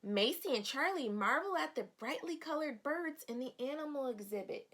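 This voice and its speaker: A woman with a fairly high voice reads to young children as their teacher. She is expressive and explains things in simple words, often putting questions to her listeners. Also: moderate pace, a little hiss or hum. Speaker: teacher reading a picture book aloud to young children. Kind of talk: read-aloud storytelling with questions to children.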